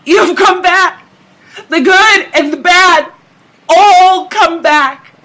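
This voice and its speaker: A woman's voice: very high voice, extremely slow, pushed and bright, voice pushed up, full character voice. A woman voicing a terrified, hysterical man who cries out and repeats himself in a dramatic reading of old science fiction. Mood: exultant relief. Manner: exclamatory, repetitive, gasping and shrieking. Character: terrified, hysterical injured man, crying out in desperate awe.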